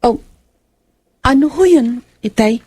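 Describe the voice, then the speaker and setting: High-voiced caring young daughter acted by a woman. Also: expressive, takes it slowly, mellow, slightly rough, exaggerated voice. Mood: anxious. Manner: respectful, inquiring.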